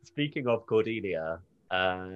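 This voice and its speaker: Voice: deep voice, expressive, a little slow, highs cut off. Podcast host chatting casually, wry and playful, voice goes up and down loads. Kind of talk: casual banter about a TV episode.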